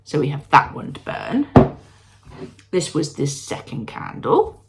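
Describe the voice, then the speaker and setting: A middle-aged woman, her nose blocked from a cold, chats brightly to her viewers from home. Her voice sits a little low, and she jokes at her own expense as she goes. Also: sing-song, unhurried, very noisy. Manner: bubbly, self-deprecating, talks to viewers. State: congested with a cold, sniffly. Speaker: candle-making vlogger. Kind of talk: chatty home vlog. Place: at home, moving between rooms.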